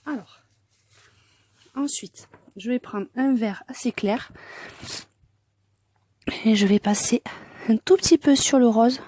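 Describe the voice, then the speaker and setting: A woman's voice: fairly high pitch, takes it slowly, long pauses, mellow, no echo. Congested woman giving a craft tutorial. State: congested with a cold. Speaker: colouring tutorial host. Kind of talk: informal hands-on craft tutorial.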